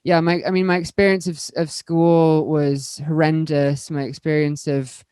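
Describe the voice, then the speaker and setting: A young woman speaking as a panel guest, candid and stumbling, repeating words as she rushes ahead. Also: low-pitched, level tone, warm tone, clear, resonant tone, dry recording. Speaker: panel guest, electronic musician. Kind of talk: panel discussion.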